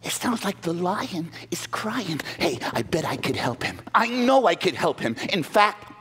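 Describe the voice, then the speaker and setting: A man's voice: sits high, sing-song, measured pace, a bit bright, long reverb tail, a little hiss or hum, pitched above the performer's own voice, full character voice. A tiny, fretful storybook mouse, put on by a male storyteller. His voice sits above the teller's own and wavers as he stammers and dithers. He can't decide whether to be brave. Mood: eager. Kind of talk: live children's storytelling.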